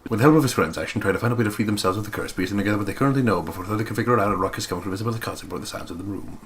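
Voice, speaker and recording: A man's voice: lowish voice, very fast, slight background noise. A man co-hosting a let's play with casual banter and reading aloud in a playful, teasing, theatrical manner.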